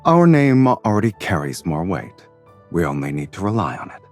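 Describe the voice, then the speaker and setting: A man playing a suave, teasing, self-assured love interest in a video game, speaking in a smooth, wry manner. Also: low voice, sing-song, moderate pace, darkish tone, faint music behind. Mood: calm, scheming. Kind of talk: video game cutscene voice acting.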